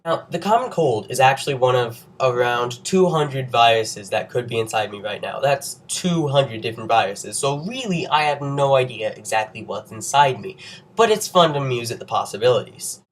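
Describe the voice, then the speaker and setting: A man's voice: mid-range voice, expressive, moderate pace. Congested young man explaining science. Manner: wry, self-joking, nerdy. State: under the weather with a cold. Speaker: presenter of an educational video.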